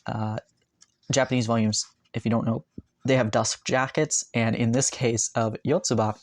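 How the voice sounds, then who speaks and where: A man's voice: medium pitch, flat delivery, moderate pace, dry recording. Chatty man full of ums, dry close sound. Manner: rambling, many ums and uhs, enthusiastic. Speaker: manga collector vlogger. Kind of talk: casual haul video talk. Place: bedroom, indoors.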